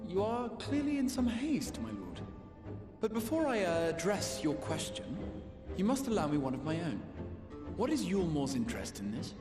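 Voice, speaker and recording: Game role: hooded sage-leader, polite yet unyielding, expressive delivery, reverberant hall with music. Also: male voice, high voice. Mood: wry courtesy. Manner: measured, formal, theatrically polite. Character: calm, courteous, steely hooded mystic ruler. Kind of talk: dramatic video game cutscene acting.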